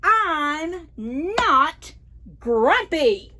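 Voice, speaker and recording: A woman's voice: high voice, sing-song, extremely slow, sharp tone, pitched above the performer's own voice, heavily acted. A woman reading a picture book, voicing a grumpy male monkey who indignantly protests that he is fine. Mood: defensive. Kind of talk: picture-book read-aloud for preschoolers.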